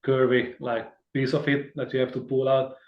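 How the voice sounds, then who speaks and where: A man's voice: medium pitch, flat delivery, normal speed, echoey room, lo-fi sound. A man from Hungary, speaking, talks in a rambling, friendly way as a guitarist guest in a casual interview. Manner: rambling and friendly, many fillers and restarts, laughs easily.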